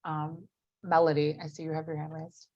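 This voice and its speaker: A woman with a low voice, a little hoarse from a cold, steers an online meeting in a calm, polite way. Her audio comes through a dull, lo-fi video call. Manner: measured, courteous, with frequent ums. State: has a cold, losing her voice. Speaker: meeting facilitator. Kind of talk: facilitating an online policy meeting.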